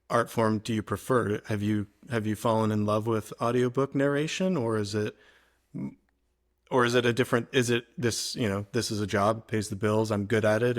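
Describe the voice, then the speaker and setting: A man hosting a podcast, asking loose, searching questions with fillers. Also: lowish voice. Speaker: podcast host. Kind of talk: interviewing in a podcast.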